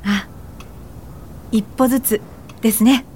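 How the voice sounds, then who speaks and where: A woman's voice: fairly high pitch, steady tone, takes it slowly, darkish tone, a little hiss or hum. An actress playing a supportive woman activist in a Japanese radio drama, talking politely and conversationally. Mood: concerned, supportive.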